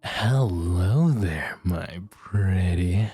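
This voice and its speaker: A man doing a husky, crawling ghoul. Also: low-pitched, lively intonation, mellow, heavily acted. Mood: menacing croon. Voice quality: husky, drawn-out hiss. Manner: crooning, predatory, savoring each word. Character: slow, menacing ghoul servant craving blood. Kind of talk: ASMR roleplay audio drama.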